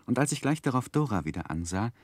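An actor playing an earnest, romantic gentleman narrating his own youth in measured, literary for a 1960s radio drama. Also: medium pitch, big pitch swings, no room sound. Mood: wry, reflective. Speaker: narrator and main character. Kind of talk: dramatic first-person narration, 1960s German radio play.

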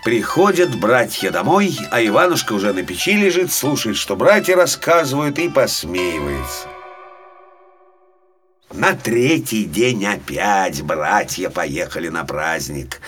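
Very animated male fairy-tale narrator. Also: on the low side, a little slow. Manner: expressive, dramatic storytelling. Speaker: storyteller. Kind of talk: scripted fairy-tale narration for small children. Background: faint music.

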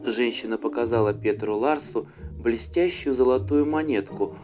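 A man reading a fairy tale as an audiobook narrator, in an expressive storytelling style. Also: mid-range voice, normal speed. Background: faint music.

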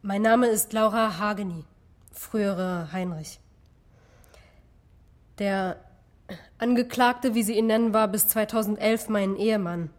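A woman plays a former wife testifying in a radio drama. She speaks at a middle pitch, carefully and haltingly. She comes across as sad and bound by duty. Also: fairly even intonation, close to an everyday voice. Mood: hesitant, dutiful. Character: guilt-laden ex-wife witness, sad and dutiful.

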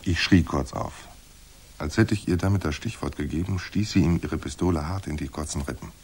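Hard-boiled crime narrator, extremely low, husky, dry and terse delivery. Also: male voice, a little fast, a little mellow, exaggerated voice. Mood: suspenseful. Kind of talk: scripted noir narration in radio drama.

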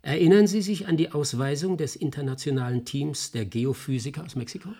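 An actor playing a sharp, persistent male investigator in a German radio play, explaining in a measured, insistent way with a slightly husky voice. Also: fairly high pitch. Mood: explanatory, assured.

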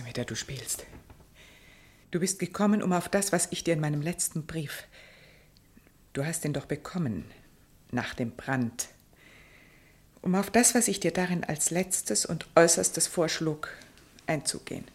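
A forsaken, self-righteous wife in a literary radio drama. Her voice is deep for a woman and husky, and she phrases everything with austere deliberation. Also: animated, normal speed, long pauses. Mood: bitter, controlled.

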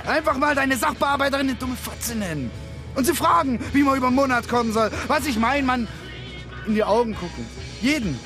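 A man acting in a German radio drama as a disgruntled working-class buddy with a blunt, halting, colloquial way of talking. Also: very high voice, animated. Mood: rebellious, earnest. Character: gruff, disgruntled working-class buddy, rebellious but concerned. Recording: music behind the voice.

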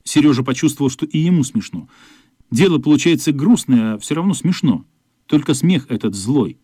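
A man's voice: medium pitch, lively intonation, moderate pace, dark tone, breathy edge, no room sound, studio quality. A man narrating a children's radio play as a scripted storyteller. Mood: narrative. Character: male storyteller narrating a wistful children's adventure.

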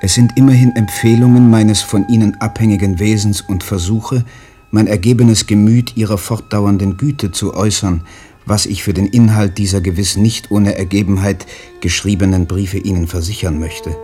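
An actor playing a withdrawn, submissive poet son reading out stilted, deferential letters in a radio play. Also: male voice, lowish voice, fairly even intonation, normal speed, warm tone, natural voice. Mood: stiff, submissive.

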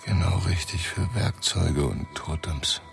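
An actor in a radio drama playing an Inuit hunter's drowsy, awestruck inner voice in a hushed, half-whispered murmur. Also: male voice, deep voice, measured pace, gravelly, heavily acted. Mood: dazed wonder. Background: music.

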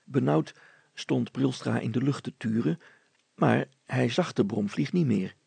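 A man reading a story aloud as an expressive narrator. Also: medium pitch, unhurried, creaky, slightly rough, no echo.

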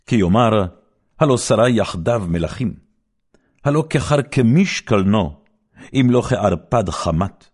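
A man reading scripture aloud as a solemn, declamatory recitation. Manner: solemn, declamatory, with wide swings of pitch and loudness. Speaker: Bible reader.

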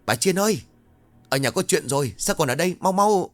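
A man playing a young village lad in a storybook tale, yelling in a high, straining voice, panicked and out of breath. His pitch leaps around wildly as he stammers out bad news in a dry, close recording. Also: lively intonation, fairly quick, no room sound, faint music behind, close to an everyday voice. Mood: frantic shouting. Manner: shouting urgently, gasping between words.

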